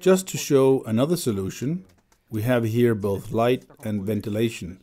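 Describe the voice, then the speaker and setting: A man delivers documentary narration at an even, measured pace. His voice is mellow and dark in tone, with a steady pitch that sits in the middle of the male range. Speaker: voice-over interpreter. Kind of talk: read documentary voice-over.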